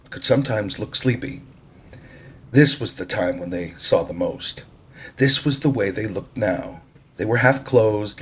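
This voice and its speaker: A man's voice: on the low side, husky. A man reading a story aloud as an audiobook-style narrator. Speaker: audiobook reader.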